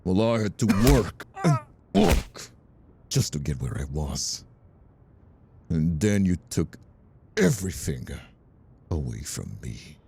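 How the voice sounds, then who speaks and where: An actor playing a vicious, bitter mob rival in an audio drama, mocking and theatrical in his drawn-out menace. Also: low voice, sing-song, creaky, dark tone, a little hiss or hum, exaggerated voice. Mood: bitter contempt. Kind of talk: audio roleplay drama acting.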